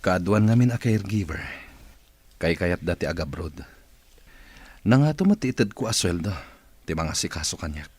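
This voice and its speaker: An actor playing an irritable, suspicious boss who uses a wheelchair in an audio drama, speaking in a curt, interrogating way. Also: male voice, sits low, lively intonation, normal speed, long pauses, a little mellow, husky, no room sound. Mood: grumbling.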